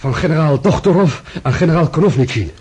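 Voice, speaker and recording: A young army courier in a radio drama. His high voice is very rough and breathless. He reports to superiors in urgent, clipped phrases. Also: expressive, fast, darkish tone.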